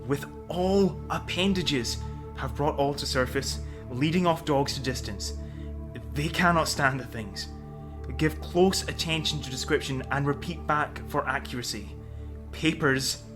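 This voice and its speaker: A man playing an arrogant, excitable scientist in charge of a polar expedition, pompous and imperious, in 1930s radio drama style. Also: fairly high pitch, lightly acted. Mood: urgent, excited. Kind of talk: 1930s radio drama acting, dictated telegraphic reports.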